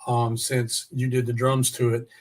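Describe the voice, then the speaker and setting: Male podcast host, medium pitch, informal and conversational, loose slangy phrasing with occasional mild cursing. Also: completely flat delivery, measured pace. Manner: informal, mild swearing, y'all. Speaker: podcast host interviewing a musician. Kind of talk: casual chat.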